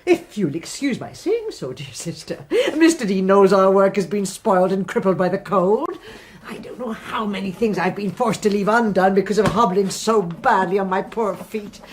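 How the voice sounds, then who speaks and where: A woman playing a soft-hearted, gushing, emotional nun in a radio drama. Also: medium pitch, lively intonation, normal speed, a little hiss or hum, close to an everyday voice.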